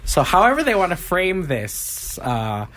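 Man, podcast host, casual, teasing, rambling, lively pitch. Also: a little slow. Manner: rambling, teasing, laughs often. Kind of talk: casual campus podcast interview.

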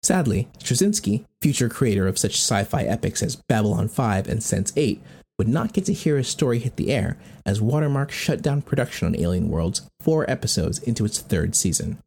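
A man's voice: on the low side, very animated, normal speed, gravelly, darkish tone, breathy edge. An American man hosting a podcast, reading scripted narration. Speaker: podcast host.

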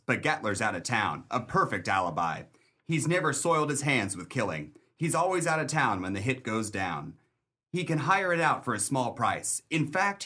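A man's voice: medium pitch, bright, forceful tone. A man acting a loyal, vengeful 1930s police detective in a pulp crime radio play, earnest and slangy.

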